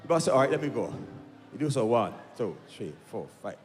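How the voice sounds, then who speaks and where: A man's voice: medium pitch, big pitch swings, slight background noise. A man with a Barbadian accent entertaining a live crowd as a comic storyteller and singer, speaking into a stage microphone. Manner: unhurried storyteller acting out dialogue with pauses. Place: outdoor festival stage. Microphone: stage microphone through PA. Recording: live PA with long reverb, music behind.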